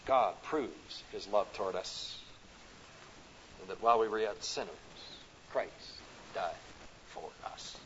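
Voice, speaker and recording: A man from the American Midwest with a Midwestern accent, preaching a sermon at a conference, rising to loud emphatic peaks and dropping to soft, slow phrases. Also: fairly low pitch, a little hiss or hum. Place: conference hall. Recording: dull lo-fi recording.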